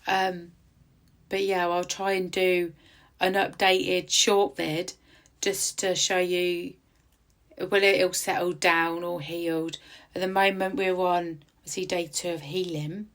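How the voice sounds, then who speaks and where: A tattoo artist with a croaky voice, chatting casually in a rambling voice-over with many ums, recorded outdoors in quiet countryside. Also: female voice, on the low side, little pitch movement, takes it slowly.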